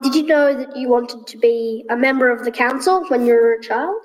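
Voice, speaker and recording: A teenage girl asks prepared interview questions in a polite, formal way. Her voice is fairly high and has a long reverb, as if she is recorded in a hall. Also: normal speed. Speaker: student interviewer.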